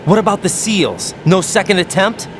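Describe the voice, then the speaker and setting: An actor playing an earnest but unsure young special operative in a dramatic video game. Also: male voice, high-pitched, animated, moderate pace, background noise. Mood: frustrated.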